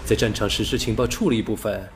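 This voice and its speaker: A man reading a science fiction novel aloud as an audiobook narrator, steady and storytelling, lifting his voice on key words. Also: fairly low pitch, brisk, gravelly, a little hiss or hum. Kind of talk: scripted sci-fi novel narration.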